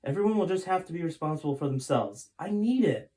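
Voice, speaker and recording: A man's voice: on the high side, expressive, quick pace, warm tone, pitched above the performer's own voice, lightly acted. A man voicing a determined, anxious father and time traveller in a storybook, earnest and emphatic. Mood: urgent, insistent.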